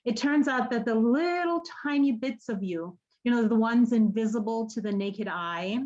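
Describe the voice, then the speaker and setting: An actress playing an earnest, haunted woman in an audio drama, speaking haltingly and searching for her words. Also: a little high, a little mellow, highs cut off, close to an everyday voice. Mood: tender, reflective. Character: earnest, haunted woman running an apocalypse support group. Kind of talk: naturalistic podcast radio drama acting.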